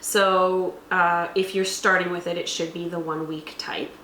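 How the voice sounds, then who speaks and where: A woman's voice: on the low side, little pitch movement, a little slow, bright, forceful tone. A woman hosting a casual explainer, talking through tabletop game rules. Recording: echoey room, faint background noise.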